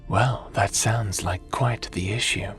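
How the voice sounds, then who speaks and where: A low-pitched, gentle noble of another world, acted by a man. He is courteous, fatherly and literal-minded, choosing words precisely, as in a soft fantasy roleplay with light music in the background. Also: steady tone. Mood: calm, noble. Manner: polite, precise, literal, unhurried wording. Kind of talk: ASMR roleplay drama.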